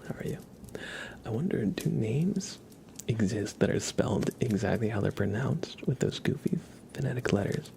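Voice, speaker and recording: A man whispering softly and breathily close to the mic as he hosts an ASMR livestream, chatting casually and gently repeating words. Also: medium pitch, lively intonation, vocal fry. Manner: soft, repeats words and names slowly.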